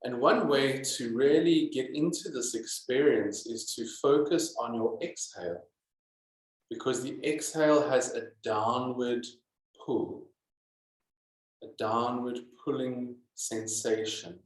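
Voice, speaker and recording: A man teaching yoga and guiding meditation in a calm, deliberate manner with many pauses. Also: medium pitch. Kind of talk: guided yoga and meditation instruction. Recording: echoey, roomy sound.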